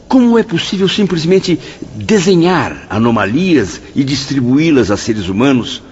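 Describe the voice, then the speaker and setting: An actor playing an indignant, questioning spirit in a radio drama, with a husky, rough voice. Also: male voice, medium pitch, big pitch swings, a little slow, darkish tone, dull audio, slight background noise. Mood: indignant, disbelieving. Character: indignant, questioning male spirit challenging fate.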